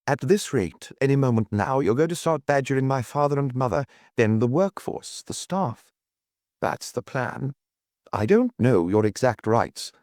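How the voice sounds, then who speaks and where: A man's voice: mid-range voice, animated, unhurried, a little mellow, dry recording, studio quality, pitched above the performer's own voice, natural voice. A man voicing a shy, stiff, indignant husband in an audiobook, hesitant and strained as he forces himself to object. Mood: indignant, agitated.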